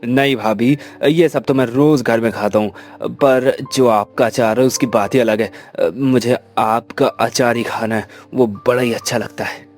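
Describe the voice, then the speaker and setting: A man's voice: medium pitch, steady tone, measured pace, a little mellow, faint music behind, close to an everyday voice. A man voicing a friendly, effusive young family friend who loves food, in a cartoon story. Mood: eager. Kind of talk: Hindi moral cartoon story acting.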